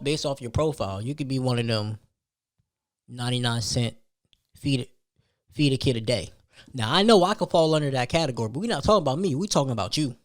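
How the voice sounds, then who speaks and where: A man hosting a podcast, telling a personal story as a casual, slangy rant, leaning on repetition and rhetorical questions. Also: mid-range voice. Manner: emphatic, slangy, repeats phrases and rhetorical questions.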